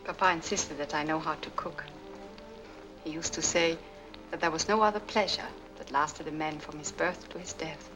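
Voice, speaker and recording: An actress playing a troubled, demure young French widow in a 1940s Hollywood film. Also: female voice, low voice, steady tone, normal speed, close to an everyday voice, rough recording. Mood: sad, apologetic. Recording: old film soundtrack, music behind.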